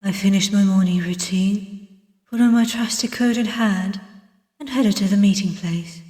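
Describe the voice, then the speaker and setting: A woman voicing a reserved, weary detective who narrates a visual novel in a measured, dramatic way. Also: mid-range voice, dark tone, lightly acted. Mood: thoughtful. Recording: distant mic, echoey long reverb.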